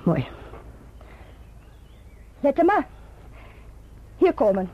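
A woman playing a bitter, imperious gentlewoman in a radio play, husky, sarcastic and icily polite. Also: high voice, very animated, drawn-out words, heavily acted. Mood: sarcastic, commanding. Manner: sarcastic, commanding. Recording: dull, muffled radio recording.

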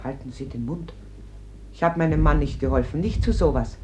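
A woman's voice: low-pitched, brisk, warm tone, natural voice. A woman playing a stern, stubborn middle-aged Spanish mother protecting her sons in a German radio play. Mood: indignant, sharp. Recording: noisy old radio play recording.

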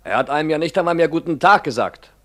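A man's voice: on the high side, animated, fast talker, fairly bright tone. An actor playing a defensive young journeyman carpenter testifying in a radio drama. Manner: defensive, self-justifying testimony.

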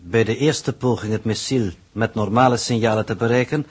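Husky, coldly pedantic spaceship coordinator. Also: male voice, lowish voice, moderate pace. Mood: cold reproof. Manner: formal, precise, clipped officialese. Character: cold, pedantic, exacting male coordinator on a spaceship. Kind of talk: 1960s radio drama acting. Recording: old 1960s radio recording.

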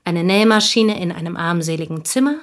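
A devoted, sober confidante from a small provincial town, played by an actress in a radio drama. Her voice sits on the low side. She tells things in a measured, precise and wistful way, like someone leafing through memories. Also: lightly acted. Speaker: actor in a radio play. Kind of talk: German radio drama acting, reflective storytelling.

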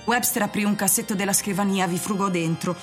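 A woman narrator reading scripted story passages for a radio drama, measured and serious. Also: mid-range voice, steady tone, faint music behind, close to an everyday voice.

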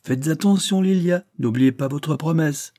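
A man's voice: on the high side, a little slow, mellow, no echo, clean studio sound, voice pushed up, natural voice. A man doing a brash, carefree young lord. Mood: flippant. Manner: boisterous, flippant. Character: careless, lovestruck young lord, younger son.

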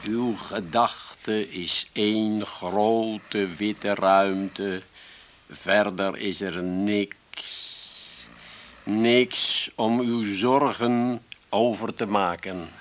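An actor in a comic radio play, playing an excitable, self-important brother-in-law who fancies himself a hypnotist. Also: male voice, lowish voice, very slow. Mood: droning, soothing. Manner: theatrical, eager.

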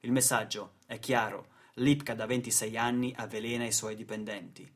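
A man's voice: lowish voice, steady tone, moderate pace. Solemn man narrating radio theatre. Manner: solemn, theatrical, with short weighted phrases. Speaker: narrator and stage actor.

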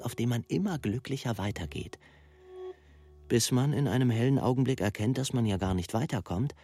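A man giving a measured, reflective literary reading of letters and verse. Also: fairly low pitch.

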